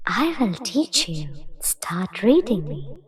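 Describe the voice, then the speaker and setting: A woman voicing a gentle magical talking book in a children's story, with a hushed, soothing delivery. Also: mid-range voice, big pitch swings, slow, pitched below the performer's own voice. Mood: gentle, encouraging.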